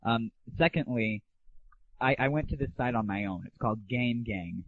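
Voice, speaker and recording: A man's voice: mid-range voice, moderate pace, no room sound. A man hosting a gaming audio show, talking casually with a blunt, irreverent, opinionated manner. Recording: muffled, phone-like lo-fi 1990s internet audio.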